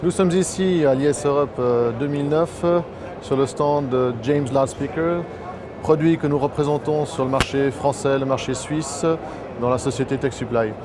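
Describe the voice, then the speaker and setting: A man's voice: medium pitch, steady tone, moderate pace, crowd noise. A man giving an explanatory, promotional product presentation, as a distributor at a trade show stand. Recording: noisy trade show floor ambience.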